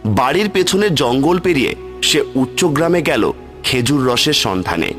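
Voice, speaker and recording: A man's voice: mid-range voice, sing-song, normal speed. A man narrating a scripted horror story as its storyteller. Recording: music bed under the voice. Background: music.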